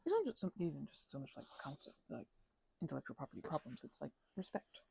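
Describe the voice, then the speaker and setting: A woman's voice: low-pitched, huge pitch swings, fast, vocal fry, warm tone, a little hiss or hum. A young woman chatting casually in an ASMR ramble, mumbling and hesitating as she drifts along, close to the microphone. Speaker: ASMR channel creator.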